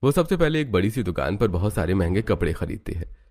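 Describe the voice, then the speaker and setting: A man's voice: low voice, big pitch swings, brisk, darkish tone. A man narrating a scripted moral story as its storyteller.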